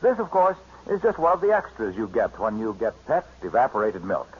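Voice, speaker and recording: Vintage American male announcer, scripted sponsor reads with lively melody and husky tone; dull 1950s broadcast sound. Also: lowish voice, fairly quick. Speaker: radio announcer. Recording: muffled 1950s radio recording.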